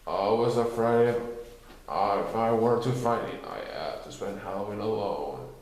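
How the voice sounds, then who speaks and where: Low, glum, gentle cartoon donkey played by a male reader, muted and grumbling, in heavy room echo. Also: moderate pace, close to an everyday voice. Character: gloomy, slow, grumbling old stuffed donkey. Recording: very echoey, reverberant room.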